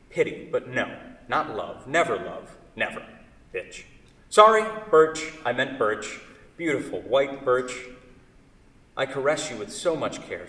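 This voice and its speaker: An actor playing an anguished, needy male woodworker in a theatrical monologue, halting and repeating himself obsessively. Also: mid-range voice, a bit bright, natural voice. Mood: bitter, wounded, then resentful, pleading. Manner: halting, repetitive, self-correcting dramatic delivery. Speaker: actor in a staged play reading. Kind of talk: theatrical monologue at a staged reading. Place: theatre, recorded from far off. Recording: echoey hall, distant microphone.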